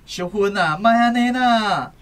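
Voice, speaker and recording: A woman's voice: medium pitch, unhurried, natural voice. A woman playing a quarrelsome, exasperated husband and shopkeeper in a radio drama, with a Taiwanese accent. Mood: pleading.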